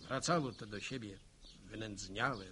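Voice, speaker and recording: An actor playing an earnest villager in a radio drama, recounting army days in a reflective, plain-spoken way. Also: male voice, on the low side, unhurried, pushed and bright, slight background noise. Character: earnest Polish villager, war veteran recalling his army days.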